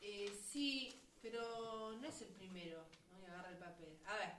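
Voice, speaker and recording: A woman's voice: mid-range voice, steady tone, normal speed, recorded from a distance. A woman with an Argentine accent giving informal workshop direction, thinking aloud and demonstrating lines for the actors. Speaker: radio drama workshop coach. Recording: echoey room, off-mic, faint noise.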